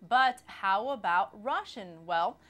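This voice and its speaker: A woman's voice: mid-range voice, lively intonation, slow, a bit bright. A woman hosting a local TV interview who asks friendly, curious questions. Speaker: host of city news program.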